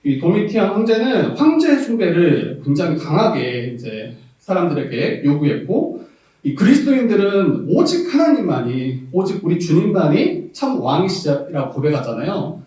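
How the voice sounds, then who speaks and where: A Korean pastor from South Jeolla with a Jeolla dialect preaches a sermon, candid and reminiscing, laughing often and rising to emphasis, recorded as far-off audio in a church sanctuary. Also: male voice, high-pitched, very animated, moderate pace, dark tone, heavy reverb.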